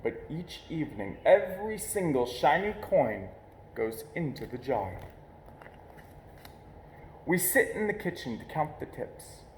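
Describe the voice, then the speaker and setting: Higher-voiced man reading a storybook, roomy echo. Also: very animated, a little slow, long pauses, slight background noise. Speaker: reader of a children's picture book. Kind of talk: storybook read-aloud, expressive narration. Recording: echoey, roomy sound.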